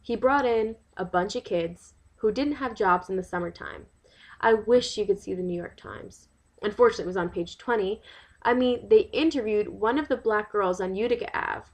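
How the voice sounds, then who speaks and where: A woman's voice: mid-range voice, normal speed, close to an everyday voice. Woman acting an indignant, worried neighborhood mother. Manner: emphatic, rhetorical questions, exasperated asides. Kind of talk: dramatic monologue, testimonial to a listener.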